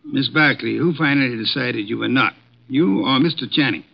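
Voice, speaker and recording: An actor playing a gruff, pompous male detective in a 1950s radio drama, clipped and dismissive. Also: medium pitch, normal speed. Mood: stern. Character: portly imperious male detective, gruff and fussy. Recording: dull old radio broadcast.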